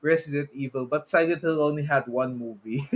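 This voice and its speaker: A man's voice: a little high, normal speed. A man with a Filipino accent co-hosting a podcast in casual, chuckly chat, often hesitating and repeating himself. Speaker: podcast co-host. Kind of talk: casual chat about video game movies.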